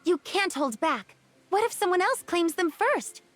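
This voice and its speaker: High-voiced, forthright young tribeswoman. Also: expressive, a little slow, a bit bright, dry recording, full character voice. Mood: frank, friendly. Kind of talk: romance game voice acting. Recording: music behind the voice.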